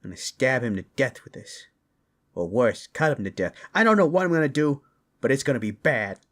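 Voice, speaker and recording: A man's voice: on the low side, fairly quick, a bit bright. A man voicing a hot-tempered, vengeful father and roguish paladin, brash and blunt, in a fantasy audio roleplay. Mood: vengeful, outraged. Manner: brash, blunt, foul-mouthed.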